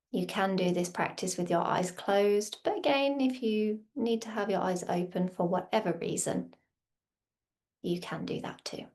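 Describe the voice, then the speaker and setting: A woman leads a guided meditation in a soft, slightly breathy voice of medium pitch. She speaks very slowly, in short soothing phrases. Long, unhurried pauses separate her instructions. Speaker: meditation and yoga teacher.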